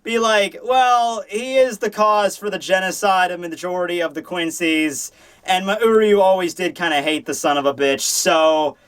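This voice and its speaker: High-voiced man giving an animated review. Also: moderate pace, smooth. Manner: animated, rambling, casually profane. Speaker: host of a manga review show. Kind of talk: casual opinionated review commentary.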